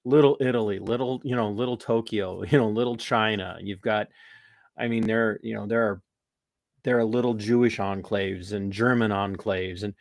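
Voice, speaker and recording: Dry, rambling American podcast host. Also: male voice, fairly low pitch, normal speed, creaky, no room sound. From United States. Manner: rambling, animated, with restarts and laughs. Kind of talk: casual political talk.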